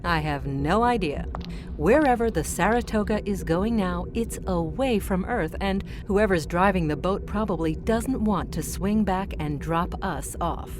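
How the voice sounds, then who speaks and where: A woman playing a sharp, commanding, sarcastic starship crew member in an audio drama, speaking in a forceful, exasperated way. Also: lowish voice, a little slow, over music, close to an everyday voice. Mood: grimly reasoning.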